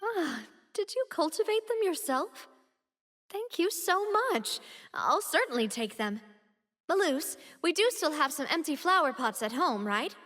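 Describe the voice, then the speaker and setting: A young noblewoman leader in a story game, earnest and expressive. Her voice is high and she speaks at a leisurely pace. A long reverb and faint music sit behind her. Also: a little slow, exaggerated voice. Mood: cheerful. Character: confident young noblewoman leader, warm and curious.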